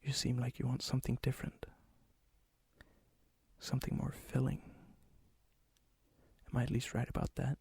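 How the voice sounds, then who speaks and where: A man playing a charming, ancient vampire and hopeless romantic in a soft-spoken, often whispered ASMR roleplay, slow, intimate and courteous, with a breathy, husky voice. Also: mid-range voice, monotone. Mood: flirtatious, courteous. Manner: slow, intimate, teasing, old-fashioned courtesy. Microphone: close mic, dry sound.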